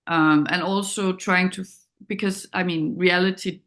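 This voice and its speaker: A woman's voice: lowish voice, steady tone, moderate pace, fairly bright tone. A woman from Denmark with a Danish accent, speaking as a film director in an interview, reflective and searching for words. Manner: hesitant, thoughtful, searching for words. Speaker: documentary director, interview guest.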